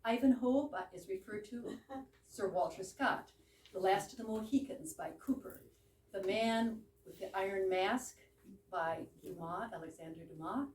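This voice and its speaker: A woman's voice: mid-range voice, unhurried, darkish tone. A woman giving an informal, explanatory introduction to a play for a live audience. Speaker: presenter introducing a play she acts in. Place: room in a private home with a small audience. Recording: echoey room, distant mic, background noise.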